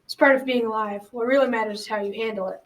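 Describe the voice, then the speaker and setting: A woman's voice: on the high side, steady tone, close to an everyday voice. A young girl playing a kindly, folksy old grandfather in a school radio drama. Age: child or teen. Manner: folksy, measured advice-giving. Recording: slightly distant school recording.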